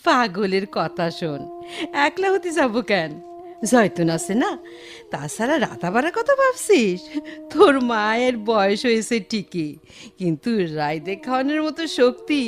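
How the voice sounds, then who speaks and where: A woman's voice: medium pitch, natural voice. A woman playing a loving elderly village mother and grandmother in a Bengali audio drama, tender and plaintive, with a rural Bangladeshi accent.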